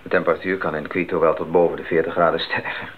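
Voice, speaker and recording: An actor playing a calm, explanatory young missionary companion in a 1980s radio drama. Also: male voice, deep voice, fast talker, natural voice. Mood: calm, puzzled. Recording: narrow, noisy old recording.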